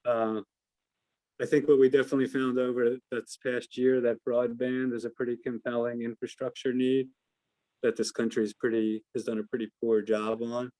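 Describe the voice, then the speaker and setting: A man's voice: mid-range voice, flat delivery, moderate pace, warm tone, no room sound, rough recording. An American man giving informal analysis as an investment adviser answering questions on a call, hesitant and hedging as he thinks aloud.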